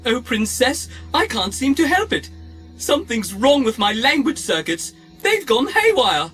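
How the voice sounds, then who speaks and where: An actor playing a fussy, haughty, malfunctioning protocol droid in an audio drama, with a prissy, pompous, theatrical delivery. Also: male voice, very high voice, very animated, measured pace, pushed and bright, exaggerated voice. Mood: fussy, earnest. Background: music.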